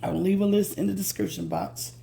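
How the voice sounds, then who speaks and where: Woman cooking at home, a bit hoarse and gravelly, keeps saying guys, faint sizzle in the back. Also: fairly low pitch, very animated, fairly quick, warm tone, a little hiss or hum. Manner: chatty, says "guys" constantly, repeats herself. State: slightly hoarse from illness. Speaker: cooking channel host. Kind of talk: casual cooking vlog. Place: home kitchen. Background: food sizzling in a pan.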